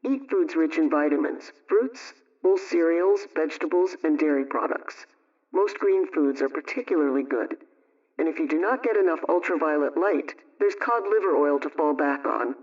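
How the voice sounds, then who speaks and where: A woman playing a practical radio homemaking adviser, explaining things in a measured, instructive way in the style of a 1920s broadcast. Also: low voice, animated, darkish tone, far from the mic. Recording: muffled radio sound, off mic.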